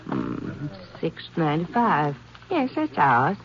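A woman's voice: low voice, wildly swooping pitch, takes it slowly, creaky, heavily acted. An actress playing a gossipy, fussy small-town dress saleswoman who hesitates as she recalls things, in a 1950s radio drama. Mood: chatty, helpful. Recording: noisy, muffled vintage 1950s radio recording.

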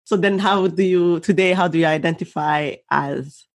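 Give me an interviewer of middling pitch recorded dry and close. The voice chimes in with short agreeing replies and asks open, easygoing questions. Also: moderate pace, dry recording. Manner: brief encouraging backchannels. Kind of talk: casual interview.

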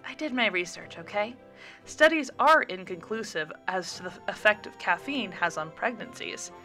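Pregnant woman, sharp-tongued and stubborn, ranting and insistent; read by a woman. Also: mid-range voice, animated, normal speed, soft background music. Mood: insistent, lecturing. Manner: ranting, insistent, rising to shouts.

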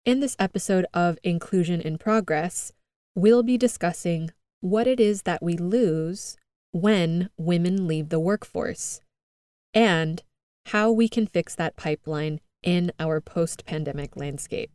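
A woman's voice: fairly low pitch, a little slow, darkish tone, no echo. An American woman hosting a podcast, reading an earnest, upbeat scripted monologue with light laughs. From United States. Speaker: podcast host.

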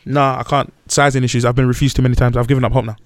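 A man's voice: medium pitch, level tone, rapid-fire. A young man with a London accent, a podcast guest chatting in a brash, joking way and repeating himself. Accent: London English. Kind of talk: casual chat.

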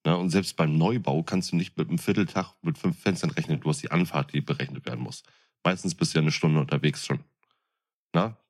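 A man's voice: very deep voice, brisk. A man in his thirties chatting casually as a podcast co-host, with a blunt, colloquial way of talking. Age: 30s. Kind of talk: casual chat.

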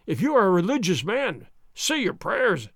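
A blustering, boastful army sergeant, as a man puts him on for a storybook reading, pushing his voice high and loud. He sneers and taunts, swinging wildly up and down in pitch. The sound is dry and close. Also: huge pitch swings, moderate pace, no echo, full character voice. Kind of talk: audiobook character reading.

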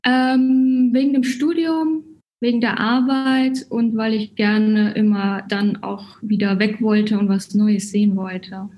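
A woman's voice: a little high, steady tone, slow, hardly any pauses, darkish tone, a little hiss or hum, low-quality recording. A woman from Cologne with a German accent, speaking casually as a guest in a podcast interview about her work. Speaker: podcast guest, writing coach.

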